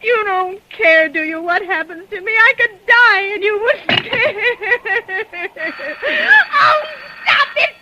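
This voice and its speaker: A woman's voice: very high-pitched, slow pace, pushed and bright, full character voice, low-quality recording. An actress playing a haughty, terrified wealthy woman stuck in bed, in 1940s radio drama style, stammering and breaking into sobs. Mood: sobbing panic. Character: frantic bedridden wealthy woman, imperious, terrified.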